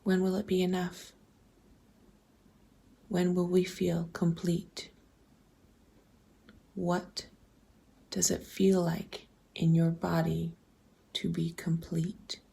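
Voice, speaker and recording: A woman with a low, mellow voice leads a guided meditation. Her delivery is very slow and nearly level in pitch, soft and breathy to the edge of a whisper. She poses gentle, open questions and leaves space after them. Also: completely flat delivery. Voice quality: soft, breathy, often slipping toward a whisper. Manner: gentle, deliberate, posing open questions with pauses. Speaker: guided visualization host.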